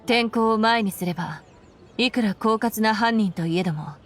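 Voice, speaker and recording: A woman acting as a stern female officer in an anime game. She talks rapidly at a medium pitch, formal and assertive, with faint music behind. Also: natural voice. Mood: firm, commanding. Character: brisk, stern female military commander.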